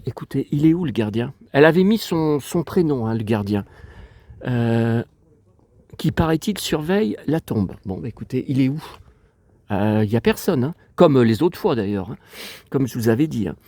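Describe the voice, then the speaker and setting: A man's voice: medium pitch, mellow, no echo. A man with a stuffy, sniffly nose from a cold, rambling in a digressive, aggrieved way as he vlogs outdoors. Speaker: vlogger. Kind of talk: casual rambling vlog chat. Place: outdoors in a cemetery.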